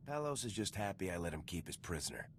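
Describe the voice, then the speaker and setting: Brisk, deep-voiced stoic soldier. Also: male voice, big pitch swings, a little hiss or hum. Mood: firm, matter-of-fact. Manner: matter-of-fact, controlled. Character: stoic, decisive young military lieutenant hero. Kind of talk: video game voice acting.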